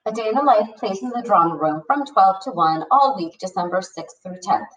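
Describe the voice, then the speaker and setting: A woman's voice: mid-range voice, lively intonation, brisk, crowd noise, close to an everyday voice. A woman reading as a sarcastic, melodramatic schoolboy, mock-grand in a storybook read-aloud. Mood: mock-dramatic. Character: sarcastic, melodramatic schoolboy writing reviews and letters. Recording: distant microphone.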